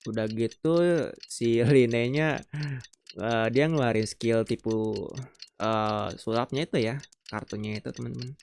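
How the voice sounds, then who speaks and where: A man's voice: mid-range voice, fairly even intonation, normal speed, gravelly, a little mellow, slight background noise. A man with an Indonesian accent giving casual gameplay commentary, informal and often searching for his words. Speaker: gaming content creator.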